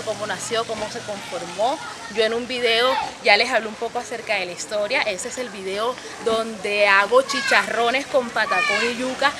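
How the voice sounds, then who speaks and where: A woman from Palenque, hosting a travel vlog and speaking straight to her viewers. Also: fairly high pitch. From San Basilio de Palenque, Colombia. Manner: lively, addresses viewers directly. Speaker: travel vlogger host. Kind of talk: casual travel vlog presenting. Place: outdoors in a village. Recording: outdoor recording with loud music and street noise.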